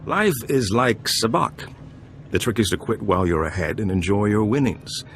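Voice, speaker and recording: A suave, aging gambler and information broker in a video game. His low voice is smooth and self-satisfied, with theatrical flourishes. There is clear ambient noise behind him. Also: animated, moderate pace. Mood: smug charm. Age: older. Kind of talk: video game dialogue acting.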